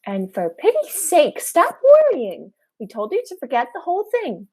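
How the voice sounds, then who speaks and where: A teenage woman playing a sweet, unflappable elderly aunt, genteel and gently scolding, in a high school radio play. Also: huge pitch swings, moderate pace, slightly rough, heavily acted.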